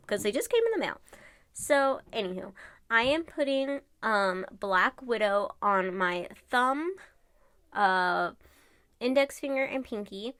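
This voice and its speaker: A woman's voice: medium pitch, no echo, clean studio sound. A woman with a congested, nasal voice from allergies, chatting casually through a nail tutorial with many fillers.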